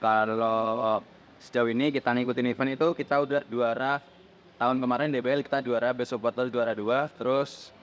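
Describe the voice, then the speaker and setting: Mid-pitched young male, teens, interview guest, plain explanatory delivery, lo-fi audio. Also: fairly even intonation, a little fast. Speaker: podcast guest, student supporters' club leader. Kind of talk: casual interview answers. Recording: dull lo-fi audio, faint background noise.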